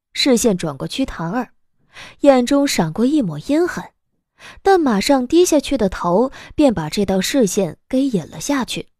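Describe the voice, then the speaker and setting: A woman narrating a scripted audio drama in an expressive storytelling manner. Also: high voice, dry recording, clean studio sound. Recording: music bed behind the voice.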